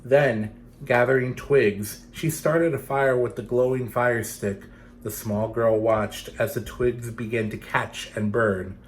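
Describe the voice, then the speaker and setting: A man's voice: medium pitch, steady tone. Man reading a children's story, faint background noise. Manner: dramatic, deliberate phrasing, addressing young listeners. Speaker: storyteller for children's theatre series.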